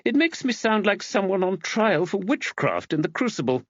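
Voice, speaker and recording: An arch village woman priest, voiced by a male narrator, pitched high and swooping as she gossips. Her mimicry is wry and exaggerated, in storybook dialogue with slightly dull sound. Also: big pitch swings, normal speed, dry recording. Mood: arch, indignant. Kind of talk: audiobook character dialogue.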